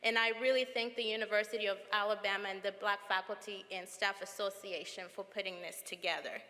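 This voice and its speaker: A woman from Zambia, a professor, giving an earnest, measured explanation at a formal ceremony. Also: medium pitch, bright, forceful tone. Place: large auditorium. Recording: long hall reverb.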